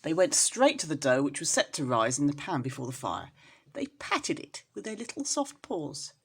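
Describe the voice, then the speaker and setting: A woman reading a children's story aloud as a storyteller, in an expressive style. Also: low-pitched, normal speed, vocal fry, breathy edge.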